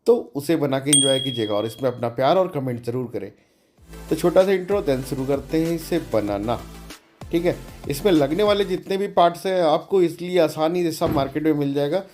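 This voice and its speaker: A man's voice: a little high, darkish tone. A man giving an informal electronics demonstration as a DIY host, chatty and colloquial, mixing English terms into his speech.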